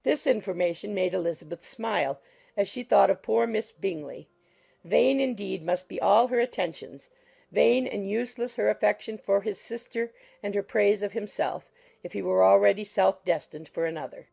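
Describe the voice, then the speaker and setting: A woman's voice: medium pitch, normal speed, creaky, dark tone, breathy edge. A woman reading scripted literary narration for an audiobook.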